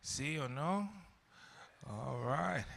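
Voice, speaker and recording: A man's voice: mid-range voice, very slow, creaky, a little hiss or hum. Man with a handheld mic in a church. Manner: relaxed, chuckling, many "uh" fillers. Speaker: school staff host introducing students. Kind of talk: casual church program introduction. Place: large church sanctuary.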